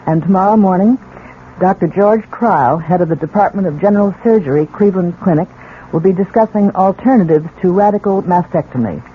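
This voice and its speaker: A woman's voice: fairly low pitch, a little fast. A woman hosts on radio, reading a scripted program promo. The old recording is narrow and tinny, with faint noise.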